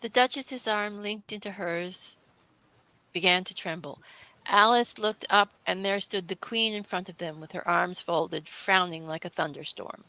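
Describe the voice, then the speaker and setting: A woman's voice: fairly low pitch, a little slow, bright, forceful tone, dry recording, poor audio. A woman narrating a classic children's story, reading it aloud.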